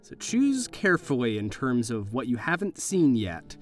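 A man's voice: medium pitch, huge pitch swings, normal speed, soft background music. A man narrating a horror tabletop game as game master, in a slangy, conversational storytelling way.

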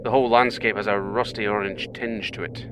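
A man playing a calm, dryly humorous British astronaut captain in a sci-fi audio drama, reporting in clipped, formal phrases. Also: a little fast, lightly acted. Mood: composed, focused. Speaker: mission captain. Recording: noisy craft rumble behind.

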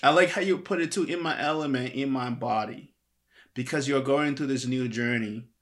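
Bright-voiced animated male host. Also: medium pitch, moderate pace. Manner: animated, many fillers, rising emphasis. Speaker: podcast host. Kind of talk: enthusiastic interview hosting.

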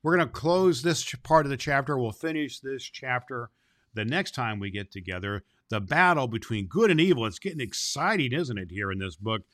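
A man's voice: mid-range voice, animated, normal speed, a bit bright, dry recording, clean studio sound. A man presenting as Santa Claus, speaking affectionately and in an avuncular way to young listeners. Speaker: host of a children's Christmas podcast, presenting as Santa Claus. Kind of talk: storybook reading and friendly address to children.

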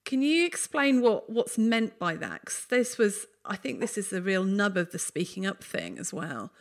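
A woman's voice: mid-range voice, sing-song, normal speed. A woman hosting a panel discussion, thoughtful and asking questions.

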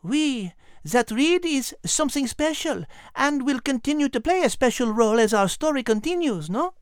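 A woman's voice: high-pitched, lively intonation, moderate pace, smooth, no echo, clean studio sound. A woman voicing a poised, curious animal podcast host with a French accent in a children's show.

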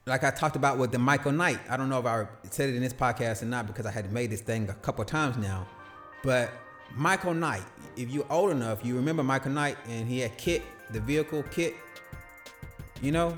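A man's voice: medium pitch, fairly quick, a bit bright, soft background music. A man in his late thirties hosting a solo podcast, talking loosely and casually, slipping into slang and playing out short quoted exchanges. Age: 30s. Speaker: podcast host.

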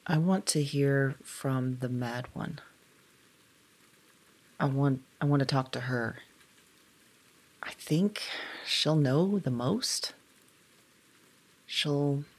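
A woman performing a first-person audio drama monologue, hesitant and halting, often dropping into whispers. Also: a bass voice, poor audio. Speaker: audio drama narrator and lead.

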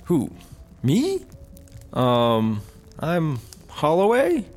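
An actor playing a droll, easygoing, slow-witted male sidekick in a comedy audio drama, guileless and deadpan. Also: mid-range voice, faint music behind, heavily acted. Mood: baffled.

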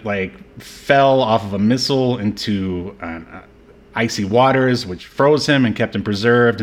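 A man hosting a podcast, chatting casually about films in a rambling, halting way. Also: on the low side. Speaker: podcast host. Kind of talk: casual film discussion podcast.

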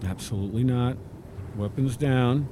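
An actor playing an earnest, commanding leader of a scientific expedition in a sci-fi audio drama. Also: male voice, mid-range voice, normal speed, noisy background, close to an everyday voice. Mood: firm, diplomatic. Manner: earnest, authoritative.

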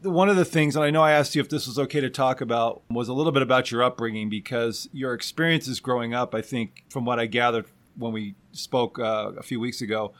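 A man's voice: medium pitch, a little fast, hardly any pauses, a bit bright. A man hosting an interview podcast, introducing and talking with his guest in a conversational style.

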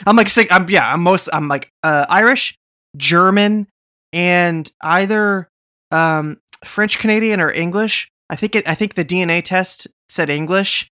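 A man's voice: sits high, a little slow, bright, forceful tone. A man from Boston co-hosting a podcast, rambling and joking in casual chat with lots of fillers and restarts. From Boston, USA. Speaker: podcast co-host.

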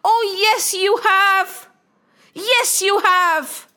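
A proud, boastful male rain god from a storybook, talking slowly and loudly, full of himself, as a woman storyteller puts him on. He sounds high for a god. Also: full character voice. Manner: slow, grand and boastful.

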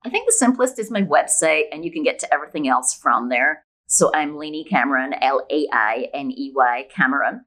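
A woman's voice: low voice, lively intonation, fairly quick, few pauses, fairly bright tone. A woman speaking as an author guest in a conversational interview, explaining her opinions emphatically with examples. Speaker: podcast guest, women's fiction author.

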